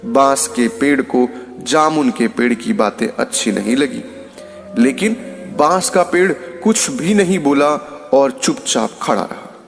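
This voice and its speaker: A man's voice: medium pitch, expressive, measured pace, creaky, in a large hall, soft background music. A man narrating a scripted moral story as a storyteller.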